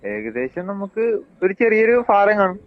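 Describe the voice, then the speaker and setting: A man's voice: sits high, sing-song, no echo. A man with a Kerala Malayalam accent chatting casually on the phone, puzzled and hesitant in his answers. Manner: slow to catch on, puzzled, hesitant. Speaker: friend on the receiving end of a call. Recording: dull lo-fi phone call sound.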